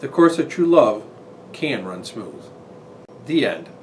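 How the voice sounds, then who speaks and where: A man's voice: medium pitch, big pitch swings, slow pace, a little mellow, a little hiss or hum. A man on a school staff reading a children's story and its verse aloud with expression.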